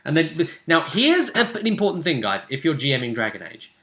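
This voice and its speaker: Animated British man, bright voice. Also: on the high side, a little fast, roomy sound. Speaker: game master. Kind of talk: casual chat recapping a tabletop role-playing game.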